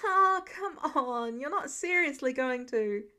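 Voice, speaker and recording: Woman voicing a high, playful, pleading teenage boy. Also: expressive, slow, sharp tone, full character voice. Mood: mock pleading.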